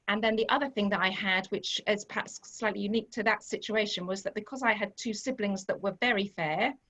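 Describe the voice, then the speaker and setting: Woman, host, mid-pitched voice, reflective, gently guiding, friendly. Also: rough recording. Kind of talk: hosting a church conversation. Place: church recording.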